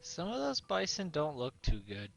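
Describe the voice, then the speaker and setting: A man's voice: fairly high pitch, huge pitch swings, normal speed, vocal fry, soft background music. A man giving casual, wry reaction commentary with joking asides over a video game.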